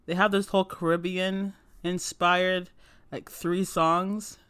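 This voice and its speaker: A man's voice: sits high, little pitch movement, takes it slowly, pushed and bright, smooth. A young man co-hosting a podcast from home, chatting casually and enthusiastically with plenty of filler words. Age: young adult. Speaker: podcast co-host. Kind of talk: casual chat.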